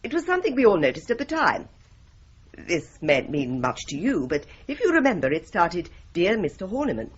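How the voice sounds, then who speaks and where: An actress playing a brisk, no-nonsense office worker with a husky voice. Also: female voice, lowish voice, sing-song. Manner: crisp, brisk. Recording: dull lo-fi audio.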